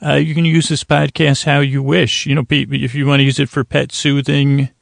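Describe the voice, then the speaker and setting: A man with a gravelly, creaky voice hosts a sleep podcast. His delivery is even and narrow in pitch, wandering and halting, full of repeats and unfinished thoughts. The sound is dry and close to the mic. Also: no echo. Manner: meandering, halting, trails off and repeats himself. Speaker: podcast host. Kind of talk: rambling bedtime podcast monologue.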